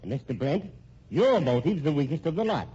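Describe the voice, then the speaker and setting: An actor playing a smug, scheming husband in an old-time radio drama, full of stammering, mock-genial courtesy. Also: male voice, on the high side, big pitch swings, brisk, dark tone, breathy edge, heavily acted. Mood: gloating, controlling. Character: scheming, smug, sardonic husband playing everyone against each other. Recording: muffled, noisy old radio recording.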